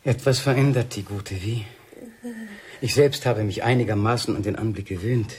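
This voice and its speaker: An actor playing a suavely sinister husband in a radio drama, with mannered courtesy and a falsely tender, condescending tone. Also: male voice, lowish voice, moderate pace, a little mellow, lightly acted. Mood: cooing, sinister. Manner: mannered courtesy, cooing endearments. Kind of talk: 1970s German radio drama acting. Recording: 1970s radio recording with faint noise.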